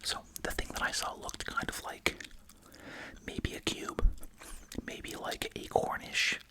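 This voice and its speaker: An ASMR host speaking in a breathy, unvoiced whisper, reading aloud and musing casually. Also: slow.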